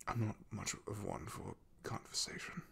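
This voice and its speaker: Male actor as devoted knight, ASMR roleplay. Husky and crackly, deferential, nervously hesitant, apologetic. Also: on the low side, flat delivery, a bit bright, exaggerated voice. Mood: nervous, eager-to-please. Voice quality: breathy, gravelly. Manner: hesitant, apologetic, halting with throat clearing. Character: awkward, loyal, deferential male knight guarding a princess. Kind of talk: ASMR roleplay, soft half-whispered acting.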